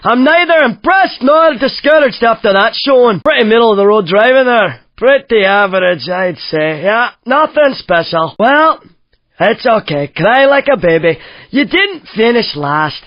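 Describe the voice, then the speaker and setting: A very high-pitched Scottish man guides drivers in a racing game. He is cheeky and excitable, with a lively swooping delivery. The sound is dry and slightly dull, like old game audio. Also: unhurried, no room sound. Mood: teasing, judging. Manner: teasing, exclamatory. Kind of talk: animated racing game commentary.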